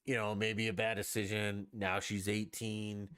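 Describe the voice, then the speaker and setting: A man's voice: fairly low pitch, little pitch movement, unhurried, fairly bright tone, smooth, no room sound, studio quality. A man hosting a podcast, talking alone in a blunt, profane, emphatic rant. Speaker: podcast host. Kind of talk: opinionated solo podcast rant.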